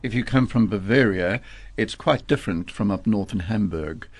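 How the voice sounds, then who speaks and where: Affable man interviewer, low voice. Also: moderate pace, no room sound. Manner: affable, agreeable, conversational. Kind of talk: radio interview.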